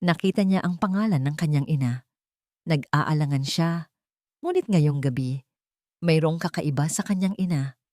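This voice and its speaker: A woman narrating a sentimental drama from a script in a deliberate, emotive way. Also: deep voice, warm tone, no room sound, studio quality. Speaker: story narrator.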